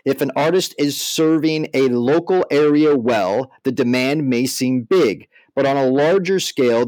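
Mid-pitched man, very dry recording. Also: darkish tone, no echo, rough recording. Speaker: podcast co-host. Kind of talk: casual commentary mixed with reading aloud.

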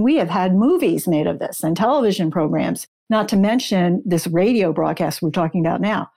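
A woman's voice: mid-range voice, darkish tone. A woman speaking as an interview guest, an author who explains her research in an informative, detailed way.

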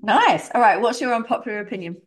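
A woman's voice: on the high side, expressive, fast talker, breathy edge. A woman hosting a podcast interview, talking in an enthusiastic, chatty, rambling way. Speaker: podcast host.

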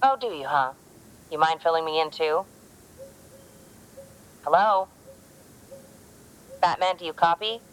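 An actress playing a hero's worried, sardonic tech ally, brisk, skeptical and wry, in an audio drama. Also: female voice, sits low, clean tone, rough recording. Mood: worried, exasperated.

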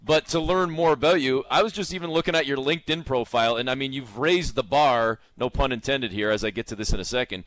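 A man's voice: mid-range voice, fast, few pauses, fairly bright tone, no echo. A man co-hosting a sports show, interviewing in a wordy, complimentary, conversational way. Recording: hip hop music behind voice. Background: hip hop music.

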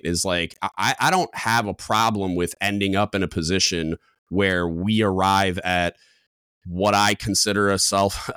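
A man co-hosting a podcast in casual discussion, with a deep booming voice, talking loud and coming on strong, with many restarts and fillers. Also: clear, resonant tone, no echo, studio quality. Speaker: podcast co-host.

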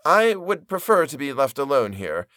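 A man voicing a withdrawn young male scrivener who speaks flatly, slowly and with indifference. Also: medium pitch, clear, resonant tone, dry recording, clean studio sound. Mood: indifferent. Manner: flat, slow, indifferent.

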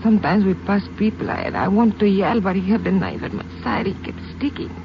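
A frightened young Mexican woman with a breathy voice, faltering and pained, as voiced by an actress. Her lines come through a muffled, lo-fi old radio broadcast with a steady hiss underneath. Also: medium pitch, brisk, natural voice. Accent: Mexican Spanish. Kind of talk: 1950s radio drama acting.

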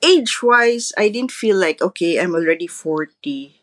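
A Filipino woman in her forties talks in a relaxed, chatty way as an interview guest. She drops Tagalog words into her speech and laughs easily. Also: on the low side, moderate pace. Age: 40s. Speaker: guest, mother. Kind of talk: casual interview chat.

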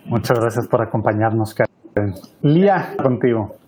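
A man's voice: lowish voice, lively intonation, normal speed, a little mellow, slight background noise. A man hosting a panel over a video call, chatty and colloquial, with many fillers, also leading a prayer. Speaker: panel host. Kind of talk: casual moderating and prayer.

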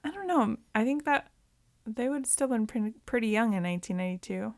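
A woman's voice: on the high side, animated, fast talker, a little mellow, no room sound, clean studio sound. A woman co-hosting a movie podcast, chatting casually and informally as she recaps a film. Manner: informal, conversational.

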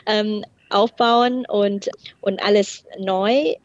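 A middle-aged woman talks through her answers in a hesitant way, pausing on fillers and starting over. Her voice sits in a normal range. The recording is dry and a little dull. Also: mid-range voice, fairly even intonation, dry recording. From Thailand. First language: Thai. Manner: hesitant, many fillers and restarts. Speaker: guest, port process manager. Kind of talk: interview answers.

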